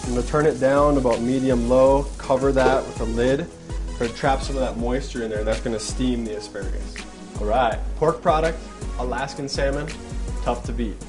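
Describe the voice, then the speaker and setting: A young man walks through a recipe in his own home kitchen, chatting casually as he works. His pitch sits in a middle range, and the narration stays relaxed and practical. Sizzling from a frying pan runs behind his voice. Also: fairly quick, music in the background. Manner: relaxed step-by-step narration while cooking. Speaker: cooking show host. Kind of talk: casual cooking demonstration.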